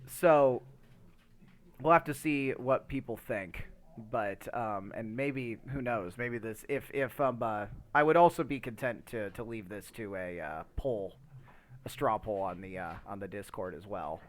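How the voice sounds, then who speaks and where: A man's voice: on the low side, unhurried. A man co-hosting a podcast in casual, rambling chat, emphatic and swearing freely as he gives his opinions. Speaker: podcast co-host.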